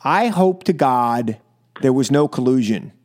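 A man's voice: medium pitch, lively intonation, measured pace, darkish tone. An American man hosting political talk radio, speaking emphatically and with exasperation, often repeating phrases and posing rhetorical questions. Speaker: radio talk show host. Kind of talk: political opinion monologue and caller talk on radio.

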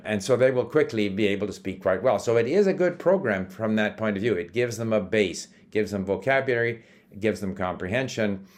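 Older man with a low voice, explaining calmly. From Sweden, raised in Canada. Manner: relaxed and explanatory, with small hesitations and restarts. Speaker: language-learning video host. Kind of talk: casual talking-to-camera monologue.

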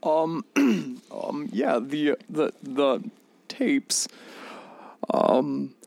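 A man playing a polite, patient professor being interviewed on a mock radio show, stammering and deadpan. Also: on the high side, extremely slow, vocal fry, full character voice. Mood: hesitant, patient. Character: polite, patient, awkward male professor guest. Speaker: guest professor and author.

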